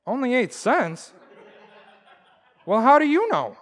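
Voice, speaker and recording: A woman's voice: fairly high pitch, very animated, slow pace, heavily acted. A woman playing a boastful, blustery pack-rat husband in a folksy, exclamatory old-time radio comedy style. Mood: puzzled, indulgent.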